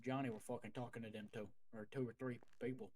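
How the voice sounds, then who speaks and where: A man's voice: mid-range voice, level tone, fast, dark tone. A man improvising a mafia soldier in a casual role-play chat, profane and grumbling, a little hesitant. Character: foul-mouthed mafia soldier back from abroad. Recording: clear background ambient noise.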